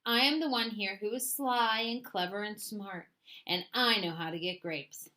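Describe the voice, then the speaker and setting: A woman's voice: medium pitch, unhurried, pushed and bright. A woman voicing a sly, vain, bossy male fox in a storybook, with a pompous, condescending, showy manner. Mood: dismissive, smug. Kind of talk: animated storybook read-aloud.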